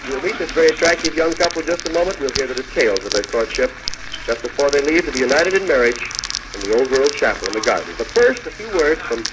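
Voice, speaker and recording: A man's voice: medium pitch, lively intonation, fast talker. An American man hosting a live radio show in 1940s style, chatty and bantering with guests. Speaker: radio show host, master of ceremonies. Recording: noisy vintage 1940s radio broadcast.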